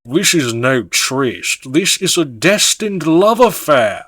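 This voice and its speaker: A man voicing a dashing, melodramatic gentleman lover with a ringing, proclaiming voice. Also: a little high, very animated, takes it slowly, breathy edge, no room sound, full character voice. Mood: grandly romantic.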